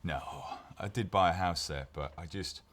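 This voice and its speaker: Slightly hoarse, very deep-voiced man from Wales. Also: moderate pace, fairly bright tone. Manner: chatty, laughing, frequent restarts and fillers. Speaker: guest dentist. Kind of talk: casual chat.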